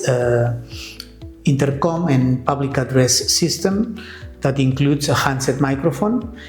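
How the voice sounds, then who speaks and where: A man with non-native English presents products in a step-by-step, somewhat halting way. His voice sits at a medium pitch in an echoey room, with music playing underneath. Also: a little mellow. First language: not English. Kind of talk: semi-scripted product presentation.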